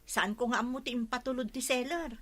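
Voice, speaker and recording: Bright-voiced Ilocano delivery worker. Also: female voice, a little high, brisk. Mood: defensive. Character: defensive parcel delivery worker, plain-spoken. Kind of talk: Ilocano radio comedy drama acting.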